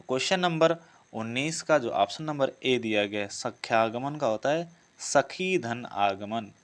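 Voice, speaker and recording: Clear-voiced man teaching. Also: medium pitch, animated, measured pace. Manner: repeats each question before giving the answer. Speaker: teacher presenting exam practice questions. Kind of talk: instructional lesson, working through quiz questions.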